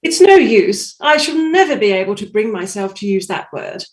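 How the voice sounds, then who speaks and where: A woman's voice: medium pitch, very animated, moderate pace, natural voice. A woman playing a genteel, apologetic society mother in a staged comedy reading, anxious and confiding. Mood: fretful.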